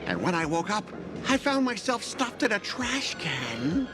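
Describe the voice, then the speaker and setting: Fawning corporate lackey, high voice, wildly swooping, bombastic game dialogue, music behind. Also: male voice, sing-song, unhurried. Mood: eager, aggrieved. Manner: obsequious, bombastic, exaggerated. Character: fawning, melodramatic male corporate underling. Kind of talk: video game character dialogue.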